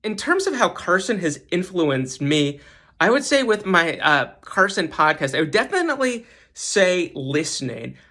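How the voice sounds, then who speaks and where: A man's voice: high voice, big pitch swings, a little slow, pushed and bright. A man who hosts a podcast, giving casual, emphatic commentary and often repeating words for stress. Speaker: podcast host giving a guest segment.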